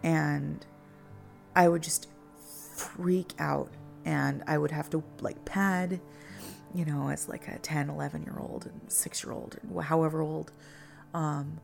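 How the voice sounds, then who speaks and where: Female, unscripted storyteller: heavy vocal fry, flat contour, halting casual delivery, faint music beneath. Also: low-pitched. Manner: halting, searching for words.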